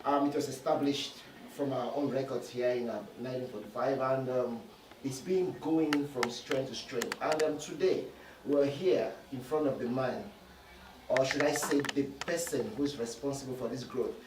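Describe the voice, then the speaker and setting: A man's voice: medium pitch, moderate pace. A man hosting an interview, greeting viewers and asking questions in a formal, courteous way with frequent fillers. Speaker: interviewer host. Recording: distant roomy mic with faint noise.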